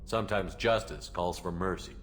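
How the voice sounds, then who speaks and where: A man playing a human military commander in a video game, steady and supportive, with a direct, plainspoken manner. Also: deep voice, moderate pace, slight background noise. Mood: probing, calm. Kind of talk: video game dialogue acting.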